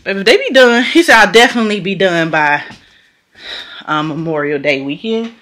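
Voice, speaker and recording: Middle-aged woman vlogger: bright, lively, chatty and loose, swears casually and laughs a lot into her phone camera. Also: medium pitch, moderate pace. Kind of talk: casual vlog chat to camera.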